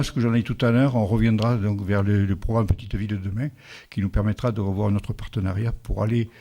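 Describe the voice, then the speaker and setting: A man, the mayor of a village, presenting a project at a public meeting and answering residents' questions in a halting way, often restarting his sentences. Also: lowish voice, creaky, warm tone. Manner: halting, restarts, rounding figures loosely.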